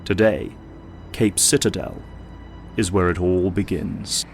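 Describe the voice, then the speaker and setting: Low-voiced, solemn male narrator. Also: steady tone, slow pace, over music. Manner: solemn, theatrical. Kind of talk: dramatic scripted narration of an audio drama.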